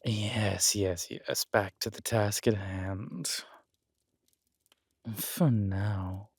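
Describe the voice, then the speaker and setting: A man's voice: lowish voice, fairly even intonation, extremely slow, creaky, husky, dry recording, clean studio sound, full character voice. A man playing a villainous conqueror king in a romantic roleplay audio, smug and flirtatious, teasing and haughty as he draws out his words.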